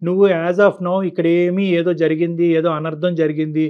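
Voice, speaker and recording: A man's voice: fairly high pitch, little pitch movement, fairly quick, phone-quality sound. A man counselling on a call-in show, explaining his advice point by point and often repeating himself. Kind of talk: advisory talk to caller and co-host.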